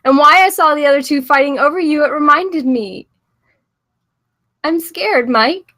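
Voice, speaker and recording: A woman's voice: high-pitched, a little slow, bright, forceful tone, heavily acted. An actress at a screenplay table read playing Jess, an anxious, devoted woman who speaks in an earnest, pleading, placating way. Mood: confessional, tender.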